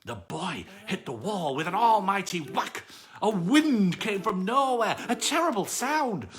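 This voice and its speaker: A woman reading a children's bedtime story aloud with dramatic, drawn-out words. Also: medium pitch, very animated.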